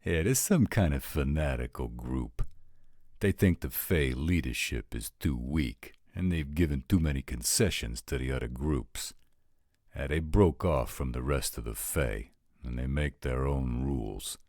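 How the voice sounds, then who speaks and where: Male actor as roguish supernatural informant, bottomless bass pitch, blunt slang, dry studio sound, roleplay audio. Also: expressive, unhurried, a little mellow, dry recording, exaggerated voice. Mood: blunt, matter-of-fact. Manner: slangy, blunt, drawling street talk. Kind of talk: audio roleplay drama acting.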